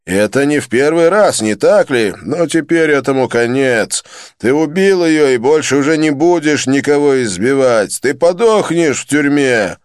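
A man's voice: mid-range voice, expressive, normal speed, smooth, dry recording, studio quality, pitched above the performer's own voice, lightly acted. A man doing a stern, scolding young man. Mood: stern anger. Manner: stern, forceful questioning.

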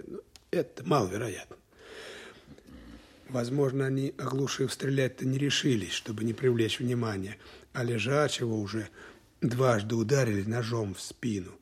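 An actor playing a thoughtful Soviet lieutenant colonel in an audio drama, husky and deliberate, pausing to reason aloud. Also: male voice, medium pitch, a little mellow.

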